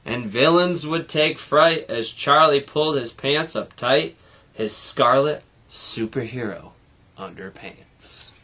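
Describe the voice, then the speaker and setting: A man reads a children's story in a bright, forceful voice. He takes his time over the rhythmic verse and delivers it with drama and playful asides, like a teacher reading to a class. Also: mid-range voice, takes it slowly, slight background noise. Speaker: teacher reading aloud to students. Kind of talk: expressive picture-book read-aloud.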